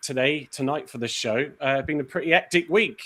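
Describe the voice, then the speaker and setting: A man hosting a football show, talking in a relaxed, chatty way with lots of fillers. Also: medium pitch, fairly quick. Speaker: podcast host. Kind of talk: casual show host chat.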